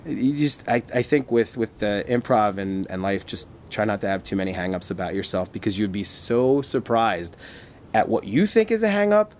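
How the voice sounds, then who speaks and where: A man's voice: on the low side, big pitch swings, moderate pace, darkish tone, slight background noise. A man who works at a bar, talking in casual chat, rambling and chatty with frequent restarts and filler words. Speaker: bar worker.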